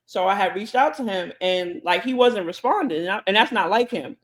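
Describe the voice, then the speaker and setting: A woman co-hosting a podcast, telling stories in an animated way and acting out both sides of conversations. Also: mid-range voice, a little fast. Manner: animated, acts out conversations in quotes. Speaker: podcast co-host. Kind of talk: casual storytelling chat.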